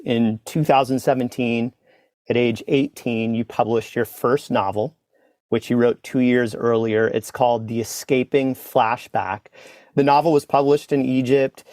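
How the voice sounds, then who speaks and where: A man hosting an interview, asking prepared questions in a measured way. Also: medium pitch, fairly even intonation, darkish tone, no room sound.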